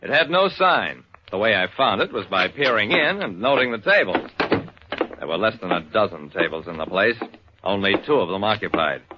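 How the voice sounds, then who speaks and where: Wry radio detective, swooping pitch. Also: male voice, very animated, normal speed. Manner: wry, dryly exasperated. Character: wry, deadpan male private detective narrating his cases. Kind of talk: first-person radio detective narration. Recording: muffled, noisy old radio recording with clicks.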